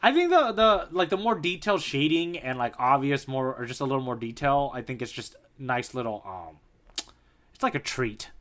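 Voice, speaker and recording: A man's voice: medium pitch, animated, normal speed, fairly bright tone. A man co-hosting a podcast in casual conversation, talking through a story and acting out bits of it as he goes. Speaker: podcast co-host. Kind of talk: casual chat, manga discussion.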